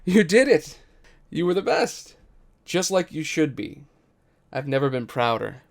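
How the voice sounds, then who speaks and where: A man's voice: on the high side, very animated, measured pace, gravelly, pitched above the performer's own voice, exaggerated voice. A man voicing a stubborn, commanding gambling father who is proud and curt, in a dramatic story reading. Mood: elated pride.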